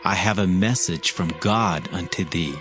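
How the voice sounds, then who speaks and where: Deep, secretive biblical envoy. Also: male voice, measured pace, a bit bright, soft background music. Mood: secretive, grave. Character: Ehud, secretive Israelite assassin envoy to king. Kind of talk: dramatized scripture acting.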